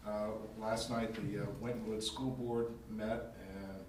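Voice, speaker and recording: A council member with a cold, speaking nasally and haltingly as he gives a committee report in council chambers. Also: fairly low pitch, little pitch movement. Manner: halting, many fillers and restarts. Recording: echoey chamber, distant mic, background hum.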